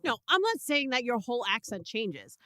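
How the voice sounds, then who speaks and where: A woman with a Brooklyn accent talks casually on an internet radio show, her voice sitting a little high. She restarts sentences and leans on fillers as she chats. The sound is dry and close. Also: sing-song, normal speed, bright, forceful tone, no room sound. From Brooklyn, New York. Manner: chatty, with frequent restarts and fillers. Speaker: co-host.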